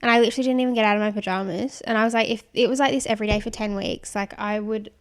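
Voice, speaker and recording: A woman's voice: mid-range voice, steady tone, very fast. A woman in her twenties with a young, girlish voice, giggly and casual, co-hosting a podcast on a close microphone in a small room. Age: 20s. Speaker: podcast co-host. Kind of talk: casual banter between friends. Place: small indoor room, seated on armchairs. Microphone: podcast microphone on a boom arm.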